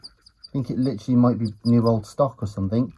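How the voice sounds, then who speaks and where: A man giving an unhurried, low-key, matter-of-fact commentary as he works on a gadget, muttering to himself at times. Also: mellow, breathy edge. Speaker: retro electronics hobbyist presenter. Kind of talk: casual hands-on teardown commentary.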